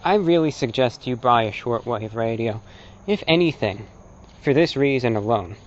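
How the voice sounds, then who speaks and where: A man presenting an informal, opinionated lecture as a broadcaster, speaking emphatically and deliberately with rhetorical repetition. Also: medium pitch, animated, lo-fi sound. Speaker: host and presenter, radio broadcaster.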